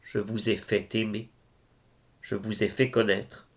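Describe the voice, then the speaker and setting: Male reader for religious verse: solemn, reverent, unhurried delivery with a creaky, gravelly edge. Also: on the low side, little pitch movement. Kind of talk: solemn devotional poetry recitation.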